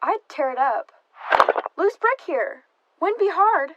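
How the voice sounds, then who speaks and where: A woman's voice: very high voice, expressive, takes it slowly, dull audio, very noisy, exaggerated voice. A woman acting a nosy, sardonic interrogator in a radio drama, asking pointed questions in a wry, insinuating way. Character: nosy, sardonic, probing interrogator of a murder suspect's sister.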